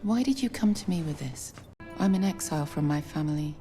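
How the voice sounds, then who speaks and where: Calculating fantasy cartoon noblewoman, kinda low voice, measured n formal, music playing behind. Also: lively intonation. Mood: soft, earnest. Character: poised, ambitious, persuasive noblewoman councillor in a fantasy city. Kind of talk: animated drama series acting.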